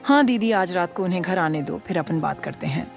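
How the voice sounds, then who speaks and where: A woman's voice: on the low side, lively intonation, fairly quick, gravelly, soft background music. A woman playing a scheming, complaining young daughter-in-law in a cartoon story. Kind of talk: Hindi cartoon moral story dialogue.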